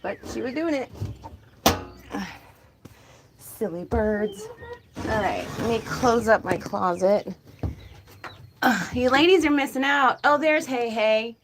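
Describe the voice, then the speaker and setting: A woman chatting casually on a live stream from her chicken coop outdoors, talking to her birds, with a calm, disinterested-sounding voice. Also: on the high side, slow pace, long pauses, a little hiss or hum. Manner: chatty, laughs often, talks to her birds. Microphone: phone held in hand.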